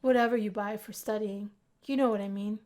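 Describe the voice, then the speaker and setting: A woman's voice: mid-range voice, fairly even intonation, fairly quick, darkish tone, natural voice. A woman voicing a laid-back, cocky young male musician in a visual novel, talking in a casual, coaxing way.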